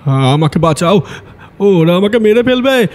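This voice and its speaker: An actor playing a frightened village man who haltingly recounts a night of terror in a horror audio story. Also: high-pitched, sing-song, darkish tone. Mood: terrified. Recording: long hall-like reverb.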